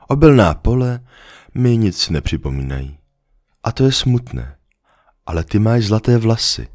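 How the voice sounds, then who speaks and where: A man reading a storybook, voicing a lonely, patient female fox in a soft, earnest, coaxing way. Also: low-pitched, no echo, clean studio sound.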